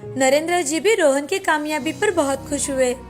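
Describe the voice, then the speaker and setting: A woman's voice: high voice, moderate pace. A woman storyteller reading a scripted moral tale as narrator. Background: faint background music.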